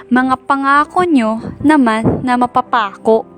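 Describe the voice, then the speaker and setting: Woman acting a high-voiced, resentful Filipino daughter. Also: takes it slowly, faint music behind. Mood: bitter, accusing. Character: resentful, sullen teenage eldest daughter. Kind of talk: amateur Tagalog radio drama acting. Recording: background soundtrack under the voice.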